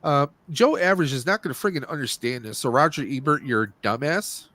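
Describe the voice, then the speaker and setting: A man's voice: medium pitch, lively intonation, brisk, sharp tone, dry recording. A man hosting a casual movie review podcast, speaking in a blunt, opinionated and rambling way. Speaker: podcast host.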